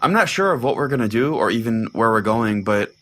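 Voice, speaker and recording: A man's voice: medium pitch, lively intonation, a little fast, a bit bright, lightly acted. A man playing a brash, foul-mouthed pastor, telling a comic story in the first person as a podcast host. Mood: announcing. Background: prominent music.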